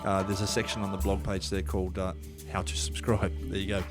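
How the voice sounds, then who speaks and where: Male podcast host, low-pitched, chatty and rambling, faint music underneath. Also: flat delivery, brisk. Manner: loose and rambling, many fillers and restarts. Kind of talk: casual podcast interview.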